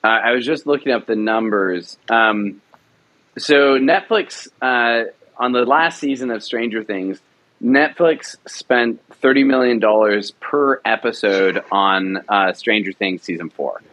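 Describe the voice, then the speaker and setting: A man's voice: fairly low pitch, unhurried, fairly bright tone, rough recording. A man producer talking in an interview, enthusiastic and rambling, with many restarts. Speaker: radio drama producer. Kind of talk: casual interview talk.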